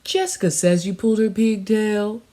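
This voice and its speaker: A woman voicing a patient female pig schoolteacher in a children's storybook, explaining things in a calm, measured, reassuring way. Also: medium pitch, natural voice.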